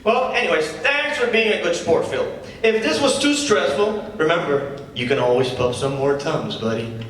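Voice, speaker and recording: A man's voice: on the high side, lively intonation, moderate pace, a bit bright. A man acting as a cocky, defensive stand-up comedian with awkward, needling patter in a live script reading. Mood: cocky, teasing. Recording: distant echoey hall, faint noise.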